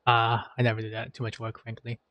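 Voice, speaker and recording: A man's voice: mid-range voice, monotone, fast, no echo, muffled recording. A man giving casual gameplay commentary as a streamer, dropping joking asides and muttering to himself. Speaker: video game streamer.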